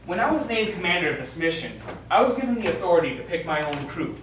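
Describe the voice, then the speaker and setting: A frank, probing mission commander played by a male stage actor, with a slightly high, very animated voice. The live play dialogue comes from far off in a heavily echoing space. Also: quick pace. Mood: blunt, challenging. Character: blunt male space mission commander questioning his crewman. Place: small theater studio, recorded from audience distance. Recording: echoey hall, distant mic, faint noise.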